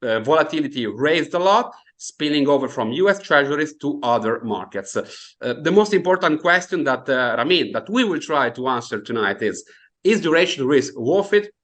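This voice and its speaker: A man's voice: on the high side, animated, moderate pace, a bit bright. A man in his thirties from Italy with an Italian accent, hosting an online finance seminar over a video call with many fillers and hesitations. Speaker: webinar host. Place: indoor home room, video call.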